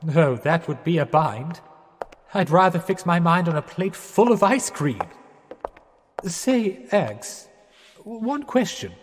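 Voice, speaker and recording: A man's voice: a little high, big pitch swings, slow pace, a little hiss or hum. An actor playing a jittery, genteel young Englishman of the 1920s in a radio play, dithering politely with a plummy English accent. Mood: relieved. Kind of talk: radio theatre acting. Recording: long echoing hall, music behind.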